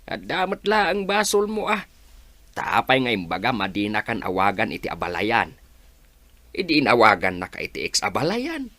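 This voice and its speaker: A man's voice: lowish voice, wildly swooping pitch, normal speed, bright, forceful tone, dry recording, full character voice. A man playing an admonishing village husband and father in a Filipino comedy radio drama. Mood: scolding.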